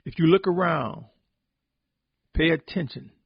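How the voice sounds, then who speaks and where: A man giving a solemn, sermon-like podcast reflection, exhorting deliberately with emphatic repetition in a husky, gravelly voice. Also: fairly high pitch, dry recording, muffled recording. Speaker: religious podcast host and preacher.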